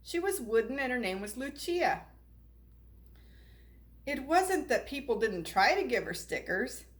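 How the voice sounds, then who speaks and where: Woman, somewhat high pitch, children's read-aloud, expressive, unhurried. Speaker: storybook reader.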